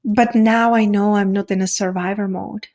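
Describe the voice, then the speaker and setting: A woman's voice: medium pitch, fairly even intonation, normal speed, darkish tone. A woman from the Czech Republic with a Czech accent, speaking earnestly and reflectively as a coach being interviewed, in spiritual self-help phrasing. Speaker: podcast guest, women's coach. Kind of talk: reflective personal interview talk.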